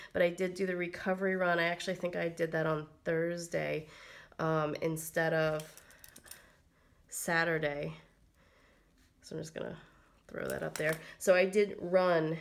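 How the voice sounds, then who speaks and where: A woman getting over a cold, her voice slightly froggy, chatting casually through a planner walkthrough with lots of ums and likes. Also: on the low side. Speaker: planner vlogger. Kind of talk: casual plan-with-me narration.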